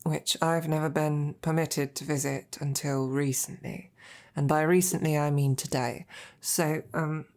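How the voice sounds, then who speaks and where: A woman playing a devoted, self-doubting female royal knight in an intimate roleplay audio, speaking in a hesitant, informal, tender way with a low, gravelly voice. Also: steady tone, measured pace, darkish tone. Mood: teasing, affectionate.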